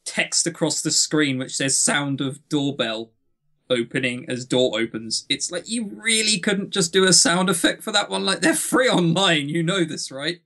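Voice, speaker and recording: A man's voice: a little high, animated, moderate pace, sharp tone. A British man co-hosting a podcast in casual chat, blunt, sarcastic and prone to swearing. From Britain. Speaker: podcast co-host.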